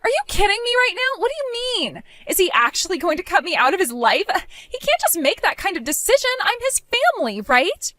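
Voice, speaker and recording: A woman voicing a spiteful, bratty young woman in an animated story, with a mocking, whiny, shrill manner. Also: a little fast, few pauses, no room sound, exaggerated voice. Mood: shocked.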